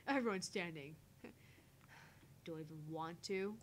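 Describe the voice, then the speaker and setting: A woman reading a visual novel's narration aloud as a player, with casual asides. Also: slow. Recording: faint background rumble and noise.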